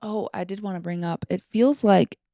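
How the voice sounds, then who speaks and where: A woman's voice: medium pitch, normal speed, dark tone, no room sound, studio quality. A young woman co-hosting a podcast, chatting casually and giving opinions in very informal speech sprinkled with "like" and mild swearing. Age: young adult. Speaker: podcast co-host.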